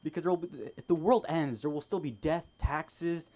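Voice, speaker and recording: A man doing observational stand-up in a halting way, repeating phrases and checking in with little tag words. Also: fairly high pitch, fairly even intonation, darkish tone. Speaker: stand-up comedian. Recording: noisy live open mic room.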